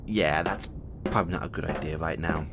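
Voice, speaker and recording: A man's voice: very deep voice, steady tone, fairly quick, creaky, heavy background noise. A man voicing a playful, flirtatious male space demon who teases the listener in an audio roleplay. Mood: teasing, conspiratorial. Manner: playful, teasing, giggles often.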